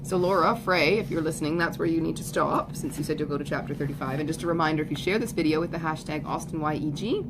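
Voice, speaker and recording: A woman reading a classic novel aloud in a measured, articulate way, as in an amateur audiobook. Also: low voice, background noise. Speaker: audiobook reader. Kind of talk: literary narration of a classic novel.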